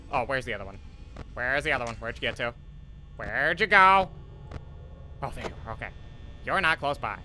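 Guy doing an anxious polite cartoon teacher playing a horror game, really sharp bright voice, swoops up and down. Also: medium pitch, a little hiss or hum. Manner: nervous, exclamatory, pleading politely. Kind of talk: let's play commentary in character.

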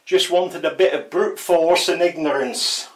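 A man's voice: fairly high pitch, measured pace. An older man giving a casual hands-on how-to, chatty and wry with self-deprecating humour. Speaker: DIY tutorial presenter. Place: small tiled bathroom shower, indoors. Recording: roomy tiled echo, distant microphone.

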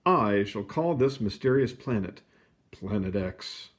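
A man voicing a self-assured early twentieth-century astronomer with declamatory, drawn-out emphasis, for a children's storybook. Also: on the low side, lively intonation. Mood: pondering.

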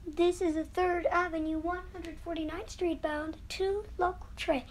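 Female transit announcer, very high voice, measured scripted stop calls, noise behind. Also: fairly even intonation. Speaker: subway train announcer.

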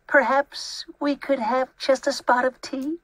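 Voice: sits high, fairly even intonation, a little slow, dry recording, pitched above the performer's own voice, rough recording. A storybook reader voicing a small, polite, meek but persistent mouse with a dainty, courteous manner. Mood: polite, apologetic. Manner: soft-spoken, courteous, dainty.

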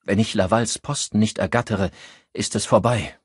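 A man voicing a tense, ambitious female police inspector in an audiobook, with brisk, clipped questions. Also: lowish voice, steady tone, husky, no room sound, clean studio sound, pitched above the performer's own voice. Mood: anxious, bitter. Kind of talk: audiobook character dialogue.

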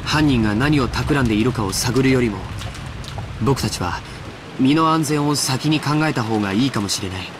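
A man's voice: mid-range voice, normal speed, natural voice. A man playing an earnest, polite young man in an anime visual novel. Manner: polite, careful. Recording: rain noise behind.

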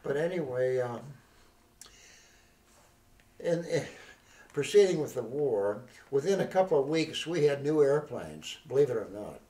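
A man's voice: mid-range voice, very animated, mellow. Old guy rambling through memories, lots of restarts, far off in an echoey room. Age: older. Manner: rambling, halting recollection with many restarts. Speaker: veteran interviewee. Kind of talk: oral history interview. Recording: roomy, distant mic.

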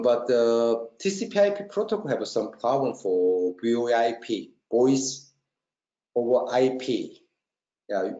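A man presenting a technical webinar in halting non-native English, checking often that listeners follow. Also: mid-range voice, mellow. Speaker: webinar presenter, company marketing trainer. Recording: roomy, dull lo-fi webinar audio.

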